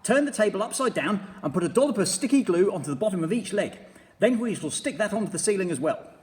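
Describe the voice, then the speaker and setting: A man voicing an excitable, bossy male monkey who gives hurried orders, with long hall reverb. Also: sits high, voice pushed up. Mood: excited bossing. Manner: excited and commanding.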